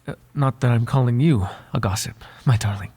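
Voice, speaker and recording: A man playing a devoted, flirtatious butler with a secret in an intimate ASMR roleplay, speaking softly in polite, formal phrases with halting hesitations. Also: medium pitch, husky, close to an everyday voice. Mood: uneasy curiosity. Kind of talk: ASMR roleplay voice acting, intimate one-sided dialogue.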